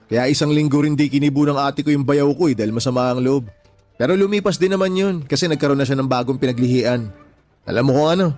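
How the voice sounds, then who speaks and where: A man's voice: medium pitch, quick pace, lightly acted. An actor playing a talkative friend and father of four, giving advice in a radio drama. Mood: chatty. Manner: animated storytelling, advice-giving. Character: chatty older friend, teasing and advising. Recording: faint music bed behind voice.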